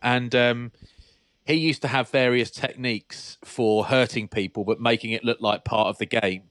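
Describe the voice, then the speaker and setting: A man co-hosts with quick jokes and cheeky interruptions. His pitch is middling. The recording is dry and close. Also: steady tone, fairly bright tone, no echo. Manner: jokey, quick asides. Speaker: podcast co-host, former rugby player. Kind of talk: casual banter.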